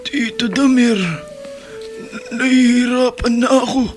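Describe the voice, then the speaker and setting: A stabbed young man fighting for every breath in a radio drama, his high-pitched voice faltering and stammering. The words drag out slowly, and a music bed plays underneath. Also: little pitch movement. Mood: dying, breathless. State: gasping for breath.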